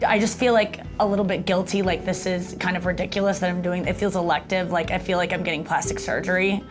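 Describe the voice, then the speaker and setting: A woman comedian with a husky, gravelly voice and strained vocal cords, chatting fast and candidly in an interview. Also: on the low side, fairly even intonation, music in the background. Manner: rapid, candid, self-deprecating talk with many fillers. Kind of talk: casual fast-talking interview chat.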